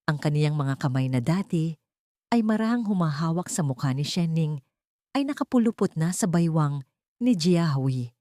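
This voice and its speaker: A woman's voice: fairly low pitch, a little mellow, no room sound, studio quality. A woman with a Filipino accent reading a scripted, dramatic story as a narrator. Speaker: narrator of audio love stories.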